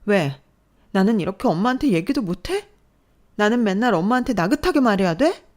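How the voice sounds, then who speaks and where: A woman's voice: mid-range voice, animated, normal speed, voice pushed up, natural voice. A woman voicing a fragile young adult daughter who speaks in a trembling, pleading, emotional way. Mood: upset.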